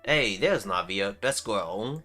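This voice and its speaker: A man's voice: lowish voice, expressive, slow pace, over music. A man hosting a casual gaming livestream, talking in a laid-back, slangy way. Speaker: livestream host.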